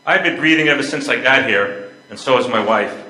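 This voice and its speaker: An older man reads a joking husband in a stage play. His voice is bright and pointed, his delivery dry and teasing. The room is clearly echoey. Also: medium pitch, fairly quick, close to an everyday voice. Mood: wry. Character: wry, joking husband on holiday. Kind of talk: amateur readers theater.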